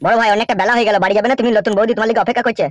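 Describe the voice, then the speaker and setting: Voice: medium pitch, fairly even intonation, very fast, no echo, natural voice. A voice actor playing a naive, nosy, crude village man in a comedy cartoon, talking in a familiar, inquisitive way. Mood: curious. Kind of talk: amateur Bengali comedy cartoon dialogue.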